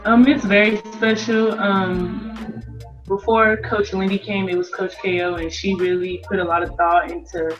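A woman's voice: medium pitch, level tone, normal speed. A young American woman from Las Vegas giving casual interview answers as a college athlete on a video call. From Las Vegas, United States. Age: young adult, about 18. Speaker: college basketball player being interviewed. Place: indoor room, on video call. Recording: dull video-call audio, music underneath. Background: music.

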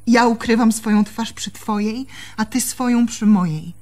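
A woman's voice: a little high, normal speed, warm tone, close to an everyday voice. An actress in a Polish radio play, playing an anxious, haunted young woman in love, with intimate, brooding delivery. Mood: brooding, yearning.

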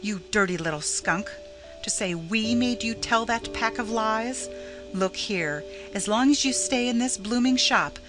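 A woman's voice: mid-range voice, pitched above the performer's own voice, close to an everyday voice. A woman voicing an angry, scornful schoolgirl bully in a storybook reading, scolding in indignant slang, with music behind her.

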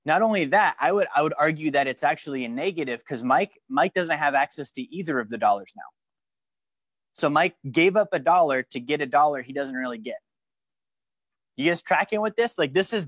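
A man's voice: a little high, no echo. A young man teaching a live finance course in a studio, talking animatedly and often stopping to ask the room questions. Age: young adult. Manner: animated, gestures at a screen while teaching; frequent restarts and questions to the room. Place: studio with a branded backdrop and a large screen.

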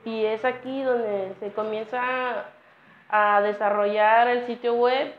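A young woman giving a halting student presentation, restarting phrases as she explains project phases. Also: fairly high pitch, steady tone, a little hiss or hum. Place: indoors against a plain wall. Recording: echoey room, dull lo-fi audio.

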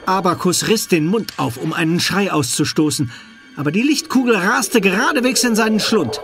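A man's voice: high voice, lively intonation, normal speed, a bit bright, faint music behind. A man narrating a children's audio drama in dramatic scripted style.

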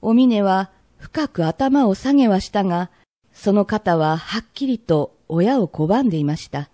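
A woman narrating an old Edo tale for a radio drama in polite, measured storyteller phrasing. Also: mid-range voice, expressive, mellow, no room sound, studio quality.

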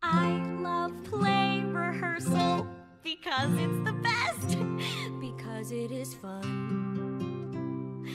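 An actress playing an excitable teenage girl who loves theater, rambling in a musical cast recording. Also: very high-pitched, exaggerated voice. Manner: rambling, breathless chatter. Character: quirky theater-loving teen girl, excitable. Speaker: stage actress. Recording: echoey stage with loud music.

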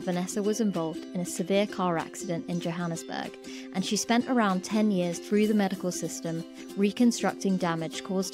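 A woman in her thirties hosting an interview, reading an enthusiastic introduction into a studio microphone. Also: lowish voice. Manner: enthusiastic, warm emphasis. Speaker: podcast host. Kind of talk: scripted guest introduction and interview. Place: pink-lit home studio. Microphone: studio mic with headphones. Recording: music bed behind voice.

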